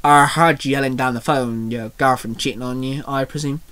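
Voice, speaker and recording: A man voicing a dashing, helpful gentleman in a casual, confident manner. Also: medium pitch, fairly even intonation, a little fast, pitched below the performer's own voice, natural voice. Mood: relaxed, knowing.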